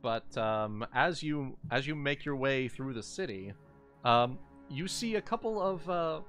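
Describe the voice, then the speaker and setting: A man improvising narration as game master of a tabletop fantasy game, halting and repeating his phrases. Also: mid-range voice, lively intonation, a bit bright. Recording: background music behind voice. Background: music.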